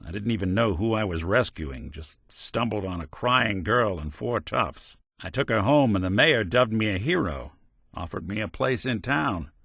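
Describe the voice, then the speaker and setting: A man's voice: fairly low pitch, lively intonation, moderate pace, creaky, a bit bright, husky, no room sound, clean studio sound, full character voice. A male actor playing a world-weary, principled android detective in a video game, with a wry, folksy hardboiled way of talking and old-fashioned slang. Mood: wry, reminiscing. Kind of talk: video game companion dialogue, noir detective.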